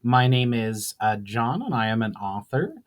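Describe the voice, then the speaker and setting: Male author-narrator, medium pitch, measured dramatic audiobook style, slightly imperfect live read. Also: very animated. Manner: measured reading with occasional stumbles and self-corrections. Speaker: author reading own book aloud.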